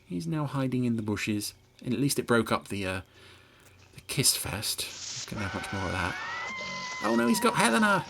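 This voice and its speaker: A man hosting a podcast, giving wry, hesitant and expressive running commentary on a television episode. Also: mid-range voice, moderate pace, vocal fry, noisy background. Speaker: podcast host.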